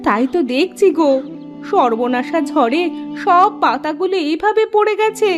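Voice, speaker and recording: A woman's voice: very high voice, expressive, moderate pace, over music. A woman playing a caring, chatty farmer's wife in a Bengali cartoon story, with colloquial rural housewife talk. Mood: concerned, wondering.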